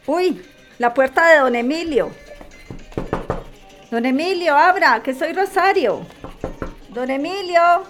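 Una mujer que interpreta a una vecina inmigrante con apuros, educada e indecisa, hablando de forma sencilla en una radionovela. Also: female voice, sits high, expressive, takes it slowly, long pauses, soft background music. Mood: alarmed. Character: struggling immigrant woman neighbour, polite but hesitant. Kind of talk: radio drama acting.

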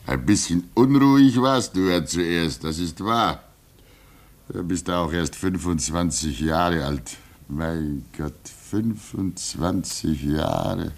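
A man acting in a 1950s radio drama as an older, fatherly polar station worker who talks in a gentle, chuckling, soothing way. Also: sits low, takes it slowly, gravelly, full character voice.

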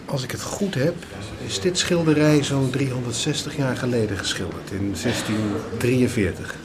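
A man's voice: medium pitch, normal speed, crowd noise, natural voice. A man playing a patient father who explains things to his young son in a radio drama. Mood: patient, instructive.

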